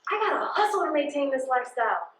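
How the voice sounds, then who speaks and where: A high female American voice with a roomy echo around it. An actress plays a hard-working, suspicious postal carrier in a stage drama, emphatic and guarded, talking in streetwise everyday speech. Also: very animated, fast, fairly bright tone. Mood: earnest, weary. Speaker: stage actor. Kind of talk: stage play dialogue, colloquial.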